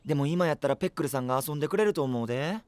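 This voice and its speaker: A man's voice: fairly high pitch, racing, a little mellow, lightly acted. A man playing an earnest, proud, devoted young knight in an audio drama, narrating his quest, with an Oita Kyushu dialect in dialogue. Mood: warm. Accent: Oita, Kyushu Japanese dialect in dialogue. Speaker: voice actor. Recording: studio voice over prominent background music.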